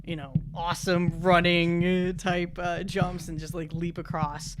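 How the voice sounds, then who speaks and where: A man with a fairly high voice plays a tabletop role-playing game. He describes his character's moves casually and haltingly, working them out aloud, with clear noise in the recording. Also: little pitch movement.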